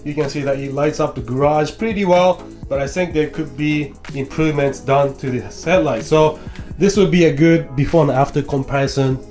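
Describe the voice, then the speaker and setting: A young man with a cold-stuffed nose talks through a motorcycle repair in his home garage, his voice sitting a little high. He keeps it casual and explains each step as he works, while faint music plays underneath. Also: steady tone, moderate pace, darkish tone. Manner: chatty, explains steps as he works. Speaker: motorcycle vlogger. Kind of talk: casual how-to vlog.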